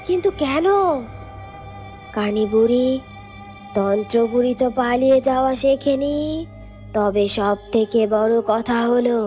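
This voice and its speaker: A woman's voice: fairly high pitch, unhurried, long pauses, mellow, soft background music, close to an everyday voice. A woman voicing a boastful, power-hungry old witch in a fairy-tale cartoon, with an imperious, gloating delivery.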